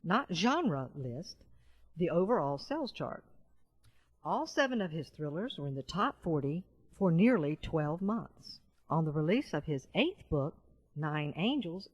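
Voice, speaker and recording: A woman reading nonfiction audiobook narration in a measured, carefully enunciated way. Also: on the low side, darkish tone, no echo. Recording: dull, muffled lo-fi voice-over.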